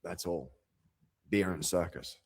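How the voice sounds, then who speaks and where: A man's voice: sits low, slow pace, breathy edge, no echo. A man delivering a rambling, profane rant as a vlogger. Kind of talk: rambling monologue.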